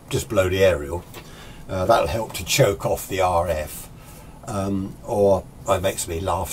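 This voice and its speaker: Older British man, low voice, chatty explainer. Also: darkish tone, a little hiss or hum. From United Kingdom. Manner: opinionated, chatty, rambling. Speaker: amateur radio hobbyist presenting a tutorial. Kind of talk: informal off-the-cuff explainer. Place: small indoor radio workshop.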